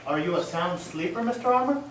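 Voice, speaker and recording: An actor playing a businesslike police detective who asks questions methodically in a radio mystery. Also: male voice, high voice, animated, fairly quick. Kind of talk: old-time radio mystery acting. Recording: roomy, distant stage recording, faint noise.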